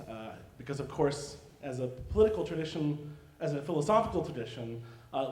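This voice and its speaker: Measured male questioner in echoey hall. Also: medium pitch, dark tone. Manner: measured, carefully qualified phrasing. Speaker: audience member asking a question. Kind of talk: formal academic question. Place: lecture hall. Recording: echoey hall, faint background noise.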